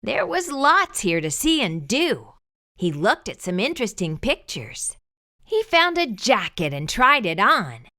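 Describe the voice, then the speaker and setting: A woman reads a picture book aloud to children, slow and sing-song. Her voice is bright and swoops all over. She draws each sentence out for young listeners. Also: on the high side. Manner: expressive, sing-song storytelling to kids. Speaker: children's storytime host.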